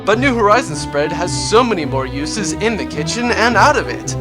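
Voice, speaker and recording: A man playing an over-cheerful pitchman in a parody radio advertisement, upbeat and salesy. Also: animated, normal speed, loud music behind the voice. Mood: chirpy selling.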